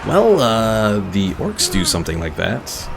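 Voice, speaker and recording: A man's voice: lowish voice, wildly swooping pitch, measured pace, vocal fry, background noise. A man doing casual, chatty gameplay commentary as a streamer and reading out viewer chat, with game music behind him. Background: video game music.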